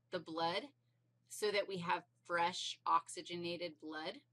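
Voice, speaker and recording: A woman's voice: fairly low pitch, a bit bright. A woman teaching anatomy in an informal, playful and emphatic way, explaining things step by step.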